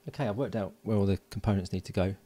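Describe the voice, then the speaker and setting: A man giving casual hands-on commentary as an electronics hobbyist, informal and halting as he thinks aloud while working. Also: lowish voice, warm tone. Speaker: electronics hobbyist presenting a build. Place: indoors at a workbench.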